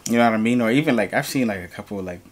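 A man's voice: on the low side, rapid-fire. A young man from New York co-hosting a reaction video, talking casually with lots of fillers in a room at home. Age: young adult. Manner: laid-back, rambling, lots of fillers. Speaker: reaction channel co-host. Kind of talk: casual chat.

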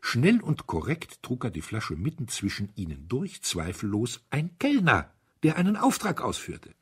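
A man with a husky voice narrating a detective story in an expressive, theatrical way. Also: mid-range voice, normal speed. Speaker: audiobook narrator.

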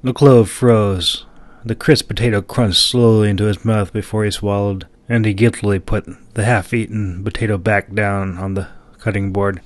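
A man's voice: lowish voice, steady tone, moderate pace, no echo. A man reading a fantasy audiobook as narrator, with dramatic storytelling delivery.

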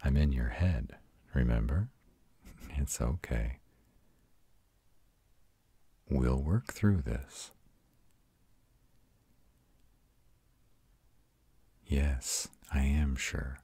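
Male actor as vampire lover, rock-bottom pitch, breathy, soothing, intimate, patient, very dry close recording, audio roleplay. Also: animated, takes it slowly, warm tone, dry recording, full character voice. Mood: soothing, tender. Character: arrogant male vampire lover, tender and reassuring. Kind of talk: intimate comfort roleplay, acted to listener.

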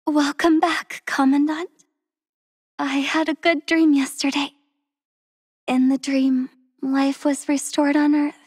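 Soft, tender game heroine, young and devoted, high-pitched, slow and breathy, dry studio sound. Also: female voice, level tone, no room sound. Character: gentle devoted young woman healer, soft-spoken and tender. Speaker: voice actress for a game character. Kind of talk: game character voice lines.

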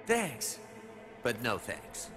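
A man's voice: mid-range voice, wildly swooping pitch, very slow, music in the background, heavily acted. An actor playing a brash, crude, cocky male assassin in a video game, swaggering, sarcastic and slangy. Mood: cocky, sardonic. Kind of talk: video game cutscene acting.

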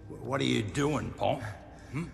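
A gravelly Australian Outback killer. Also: male voice, mid-range voice, faint music behind. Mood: mocking amusement. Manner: taunting, slow, drawling menace with cruel laughs. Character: menacing middle-aged male Outback killer, sadistic and mocking. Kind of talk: film drama acting. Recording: echoey hall with film score.

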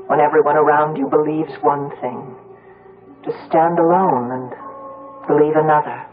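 A troubled grown daughter tells her vampire tale in 1970s radio drama. Her delivery is dramatic and deliberate. Music plays clearly behind her on a thin, band-limited broadcast. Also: low voice, narrow, tinny audio. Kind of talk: 1970s radio drama acting, narrating and dialogue.

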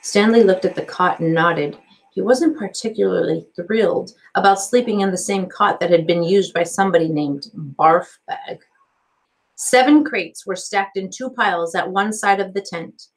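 A middle-aged woman narrates a story like a teacher reading to students. Her pitch is average and her reading is careful. She is recorded on a computer microphone in a small office. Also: moderate pace, low-quality recording. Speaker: teacher reading aloud to a class. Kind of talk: storybook narration, read aloud. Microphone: computer microphone on a video call.

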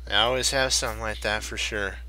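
50s guy doing chess puzzles on stream, really bright tone, kinda croaky, mutters thru moves. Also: fairly low pitch, fairly even intonation, fairly quick, rough recording. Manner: thinking aloud, addresses viewers as guys. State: voice getting croaky. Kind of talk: live chess puzzle commentary.

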